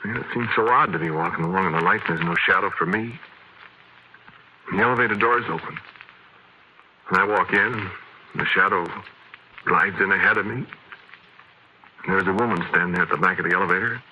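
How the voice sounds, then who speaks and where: An actor playing a sleepy, haunted man who tells his eerie tale haltingly in an old radio drama. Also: low voice. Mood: eerie resignation. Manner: hushed, halting, dreamlike storytelling. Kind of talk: 1940s radio drama first-person narration. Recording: noisy vintage radio recording.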